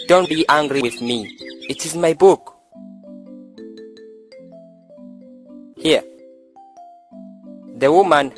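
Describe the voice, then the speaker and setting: A man's voice: mid-range voice, lightly acted. A man playing a pompous, lovestruck young village schoolteacher in an amateur student drama, speaking in a declamatory, preachy way. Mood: pleading.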